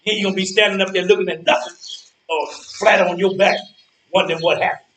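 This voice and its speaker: A man's voice: high-pitched, steady tone, fast talker, a bit bright. An actor playing a blunt, cynical, streetwise man in a stage play, dismissive and emphatic with his old friend. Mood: grim warning. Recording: echoey, far from mic, birdsong.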